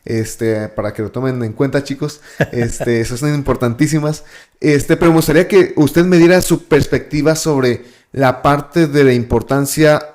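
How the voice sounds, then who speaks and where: A man's voice: medium pitch, moderate pace, a little mellow. A man with a Mexican Spanish accent hosting a church podcast, asking long, friendly questions that are full of "este" fillers. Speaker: podcast host. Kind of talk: casual interview hosting.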